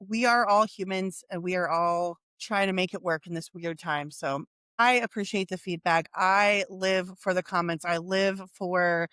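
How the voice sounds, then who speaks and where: A woman's voice: fairly low pitch, unhurried, a bit bright, clean tone, dry recording. A woman co-hosting a podcast, blunt and casual, who swears freely and laughs easily. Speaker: podcast co-host. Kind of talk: casual chat.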